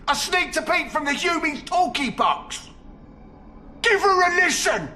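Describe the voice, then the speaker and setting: An actor playing a small, sneaky goblin underling in a game cutscene, excitable and servile. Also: male voice, extremely high pitch, unhurried, slight background noise, exaggerated voice. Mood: eager. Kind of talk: video game cutscene acting.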